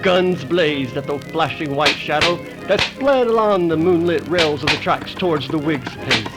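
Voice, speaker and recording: A man's voice: fairly high pitch, poor audio. A man narrating a 1930s-style radio drama in a dramatic, formal announcer's manner. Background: music.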